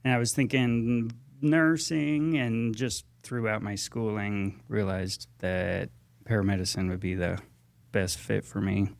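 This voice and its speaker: An American man, around his thirties, chatting casually as a podcast guest in a halting, self-correcting way. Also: lowish voice, steady tone, creaky. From Texas and Georgia, US. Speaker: guest paramedic.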